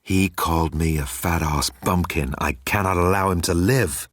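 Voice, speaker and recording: A man's voice: low voice, moderate pace, no echo, studio quality. A man voicing a boastful, easily offended alien rogue with a pompous manner. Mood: indignant.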